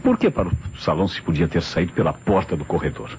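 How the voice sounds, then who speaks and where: A man with a Brazilian accent playing a brisk, methodical police inspector in an old radio drama, questioning formally, on a muffled, noisy recording. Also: sits low, sing-song, a little mellow. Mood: probing.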